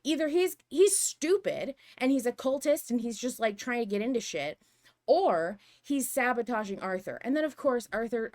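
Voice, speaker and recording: A woman hosting a fan podcast, chatting casually in an animated, opinionated way with some swearing. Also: high voice. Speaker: podcast host.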